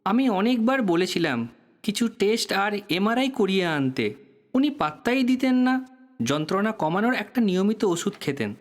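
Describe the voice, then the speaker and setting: A man's voice: high voice, sing-song, moderate pace. A man playing a courteous, guarded and slightly weary doctor in an audio drama, explaining things in a professional manner. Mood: guarded, explanatory. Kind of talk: Bengali audio drama acting.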